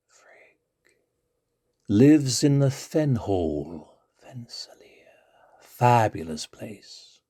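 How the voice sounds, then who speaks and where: A man from London with an English accent, reciting poetry as a deliberate storyteller with weighted pauses. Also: mid-range voice, dark tone. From London, England. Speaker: poet and actor.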